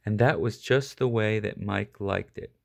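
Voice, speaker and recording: A man reading a children's picture book aloud as an expressive storyteller. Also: lowish voice, unhurried, creaky. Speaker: storybook reader.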